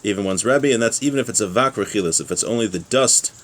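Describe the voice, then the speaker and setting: A man's voice: fairly low pitch, fast. A man giving an informal religious lesson, reading from a text and explaining it with small check-in questions. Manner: explanatory, with rhetorical check-ins like "right?". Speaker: religious teacher giving a class.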